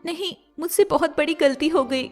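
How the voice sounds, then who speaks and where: Woman acting a young cartoon stepmother, high voice, earnest and emotive, soft music behind. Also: normal speed, lightly acted. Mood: pleading. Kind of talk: melodramatic animated moral story dialogue.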